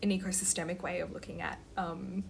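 A woman's voice: on the low side, flat delivery, brisk. A woman poet chatting casually and reflectively about her practice, using academic vocabulary. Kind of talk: casual conversational discussion. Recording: clear background noise, ambient sound.

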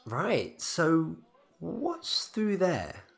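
A man plays a courteous, semi-retired newcomer in an audio drama. His voice is creaky and moves about a lot in pitch. He sounds hesitant but keeps gently probing with curiosity. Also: wildly swooping pitch, very slow, full character voice. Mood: inquisitive.

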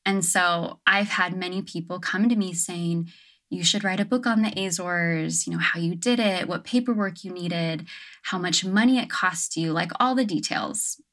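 A woman's voice: fairly low pitch, moderate pace, fairly bright tone. A young adult woman talking casually as an interview guest, reflective and thoughtful, with frequent "um" and "like". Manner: reflective, many fillers like "um" and "like". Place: her home on an island.

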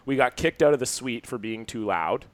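A man's voice: medium pitch, lively intonation, normal speed. A man co-hosting a podcast, telling rambling, profane stories in casual comedic chat. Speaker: podcast co-host.

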